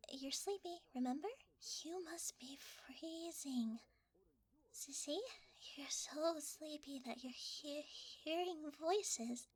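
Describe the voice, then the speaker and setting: A woman voicing a sweet, nervous blanket mimic in soft, breathy, stammering ASMR. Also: high-pitched. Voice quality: soft, breathy, often whispered. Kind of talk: whispery ASMR roleplay.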